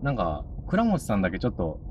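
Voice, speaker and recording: A man in his thirties chats as a guest, polite and somewhat hesitant. His intonation is lively. He is heard through muffled sound with heavy car noise around him. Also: on the low side, quick pace. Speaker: interview guest, actor. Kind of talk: casual interview chat. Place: inside a moving car. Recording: dull sound, loud car road noise.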